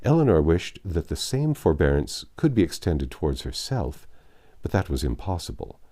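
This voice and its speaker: Deep-voiced man narrating a classic novel. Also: big pitch swings, moderate pace, warm tone. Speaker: audiobook narrator.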